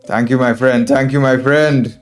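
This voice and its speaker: A man's voice: medium pitch, steady tone, normal speed. A man with a Trinidadian accent giving live game commentary as an instructor, thinking aloud, repeating phrases and addressing viewers as friends. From Trinidad and Tobago. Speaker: chess streamer and instructor. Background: background music.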